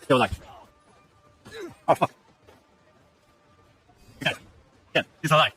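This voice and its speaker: A man streaming a video game live, blurting out loud short reactions and swearing now and then. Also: a little high, slow, soft background music.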